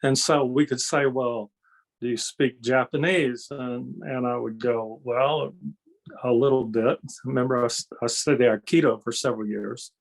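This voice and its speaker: A man's voice: medium pitch, fairly even intonation, moderate pace, creaky, rough recording. Older man, folksy conversational explainer. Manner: folksy, explanatory, frequent check-in tags. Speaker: interview guest, energy healer and kung fu master. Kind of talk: conversational interview, telling anecdotes.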